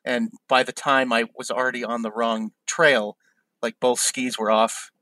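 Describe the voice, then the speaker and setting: A man with a mid-range voice trades stories in a relaxed talk, laughing easily and getting animated as he goes. The sound is close and dry. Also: medium pitch, measured pace, dry recording. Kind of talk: casual chat.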